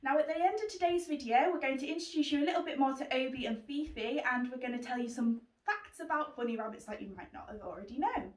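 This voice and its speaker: Woman, children's book club host, higher-pitched voice, chatty, roomy echo, away from the mic. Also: animated, fast, few pauses, distant mic. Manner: chatty, addressing children and pets. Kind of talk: presenting to young children.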